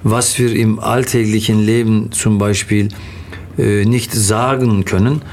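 A middle-aged man from Turkey with a Turkish accent, answering interview questions haltingly and pausing to search for words. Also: fairly low pitch, fairly even intonation, darkish tone, a little hiss or hum. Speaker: interview guest, theatre actor.

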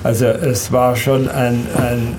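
An elderly man who grew up in Serbia and Hungary, recounting his life in an interview in a halting, hesitant way with repeated words. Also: mid-range voice, little pitch movement, darkish tone, slight background noise. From Danube Swabian from Serbia and Hungary. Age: 70s or older. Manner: halting, hesitant, repeats words, often ends with a questioning tag.

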